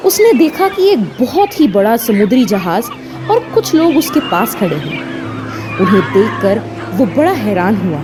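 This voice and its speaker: Slow female storyteller over music. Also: fairly high pitch. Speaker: narrator. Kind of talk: dramatic scripted story narration.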